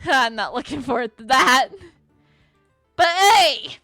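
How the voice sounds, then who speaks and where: A woman's voice: sits high, animated, takes it slowly, bright, forceful tone, no room sound, faint music behind. A woman in her late twenties, chatting casually with her live-stream chat in a giggly, self-teasing way. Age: late 20s. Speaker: livestreamer.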